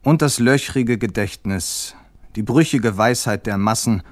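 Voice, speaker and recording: An actor voicing a solemn, despairing poetic figure in a grave, deliberate literary recitation. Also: male voice, medium pitch, dry recording, natural voice. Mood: grave, bleak. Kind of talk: literary recitation in a radio feature.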